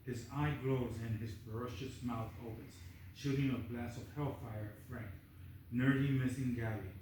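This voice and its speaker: A man's voice: medium pitch, fairly even intonation, moderate pace, a little mellow, recorded from a distance. A man reading screenplay stage directions aloud as the narrator at a table read. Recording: echoey room, off-mic, faint noise.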